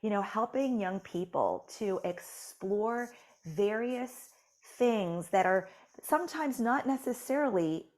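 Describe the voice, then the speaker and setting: A woman's voice: mid-range voice, expressive, slow pace, darkish tone. A woman author speaking as a guest on an interview. She talks in an encouraging way, with many "you know"s. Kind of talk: conversational interview.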